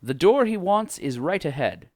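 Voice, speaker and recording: A man playing a grandiose narrator of a satirical audio drama, telling it deliberately and dramatically. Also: fairly high pitch, big pitch swings, slight background noise. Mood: mock-epic suspense. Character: grandiose storyteller narrator of a satirical dystopian tale.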